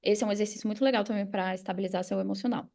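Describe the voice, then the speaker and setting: A woman's voice: mid-range voice, fairly even intonation, very fast, creaky, mellow. A Brazilian woman speaking as a podcast guest and coach, explaining things in a casual conversational way. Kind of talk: casual explanatory interview talk.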